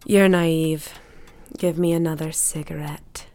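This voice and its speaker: A woman's voice: deep voice, steady tone, slow, darkish tone, a little hiss or hum. A woman playing a bitter, forgetful bedridden housewife in an audio drama, sardonic and imperious, with a drawling delivery.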